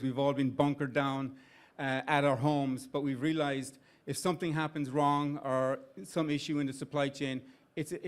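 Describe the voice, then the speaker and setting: Middle-aged Irish man, live event speech, conversational, fillers and restarts, at a venue. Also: a little high. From Ireland. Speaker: CEO of an investment firm giving opening remarks.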